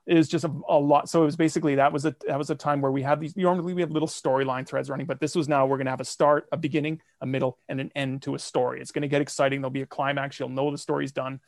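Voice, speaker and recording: Gravelly, flat-voiced podcaster man. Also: mid-range voice, no room sound, studio quality. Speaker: podcast guest, comedy fiction podcaster. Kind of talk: casual interview.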